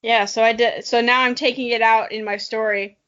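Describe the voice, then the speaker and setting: A woman's voice: a little high, steady tone, fairly quick, a bit bright, lo-fi sound. A young adult woman co-hosting a podcast, playful and joking as she reads a childhood story aloud and banters casually. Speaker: podcast co-host.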